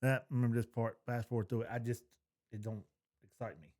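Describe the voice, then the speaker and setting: A middle-aged man talks casually as a podcast co-host. His pitch is average, and his manner is folksy, plain and opinionated. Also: flat delivery, quick pace, darkish tone. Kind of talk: casual chat.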